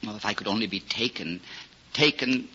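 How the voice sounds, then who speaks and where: An actor playing a bitter, grieving old shoemaker in a declamatory 1940s radio drama style. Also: male voice, fairly low pitch, normal speed, pushed and bright. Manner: lamenting, declamatory. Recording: dull, noisy 1940s radio recording.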